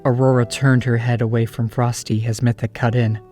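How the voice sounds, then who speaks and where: A man's voice: mid-range voice, flat delivery, fairly quick, darkish tone, soft background music. A man giving scripted storybook narration as the narrator of an audio drama.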